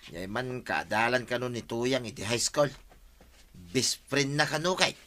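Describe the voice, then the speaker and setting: An easygoing Ilocano husband in a radio comedy drama. His voice sits at middle pitch with a bright, forward edge, chatty and neighbourly. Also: lively intonation, a little slow. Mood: casual.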